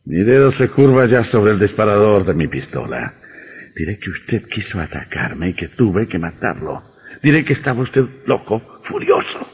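An actor playing a gloating, menacing centuries-old vampire posing as a doctor, in old radio horror style. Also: male voice, fairly low pitch, sing-song, a little hiss or hum. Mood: threatening. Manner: menacing, gloating, slow and theatrical, with sinister laughter. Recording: dull old radio recording, long reverb.

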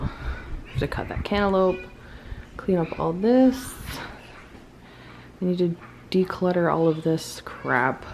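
A woman's voice: on the low side, background noise. A young woman vlogging casually at home, chatty and self-deprecating with sighs. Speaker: vlogger, stay-at-home mom. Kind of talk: casual vlog chat. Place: home kitchen and living area, indoors. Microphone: handheld camera, nearby.

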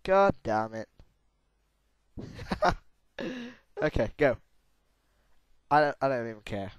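Young male gamer with a hoarse, sore-throated voice; slow, jokey commentary, recorded dry. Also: mid-range voice, dry recording. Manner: excitable, yelps and laughs at crashes. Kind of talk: let's play commentary.

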